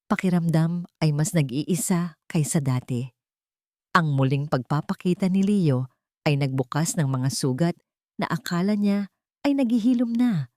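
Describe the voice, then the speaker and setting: Female Filipino storyteller for romance drama; low pitch, soft-edged mellow tone, dry close sound. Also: no room sound. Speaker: narrator of romantic stories. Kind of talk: dramatic scripted story narration.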